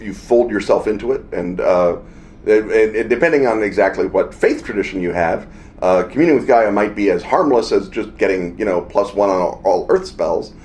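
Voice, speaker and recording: A man's voice: on the low side, lively intonation, normal speed, darkish tone. A man co-hosting a podcast, rambling enthusiastically in casual chat from a hotel room. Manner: rambling, enthusiastic, full of fillers. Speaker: podcast co-host.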